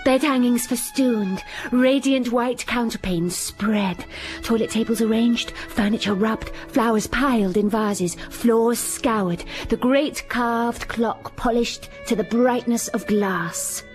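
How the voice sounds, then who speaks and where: A woman's voice: on the high side, takes it slowly, poor audio. An actress playing a plain, self-critical young governess who narrates her own story in a radio drama. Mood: reflective. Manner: introspective, self-mocking. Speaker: narrator and heroine. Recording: music under the voice. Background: music.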